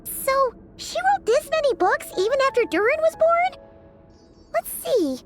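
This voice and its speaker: Very high, squeaky, excitable fairy girl. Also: unhurried, faint music behind, full character voice. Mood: curious. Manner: exclamatory, refers to self in third person. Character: small chirpy childlike fairy companion girl, excitable and food-loving. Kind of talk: anime-style video game voice acting.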